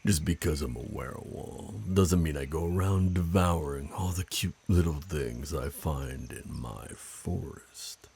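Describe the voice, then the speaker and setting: Guy doing a big burly werewolf for asmr rp, super low gravelly voice, slow taunting drawl, teasing but menacing. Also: warm tone, a little hiss or hum, heavily acted. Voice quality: deep, gravelly, husky. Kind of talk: ASMR roleplay acting.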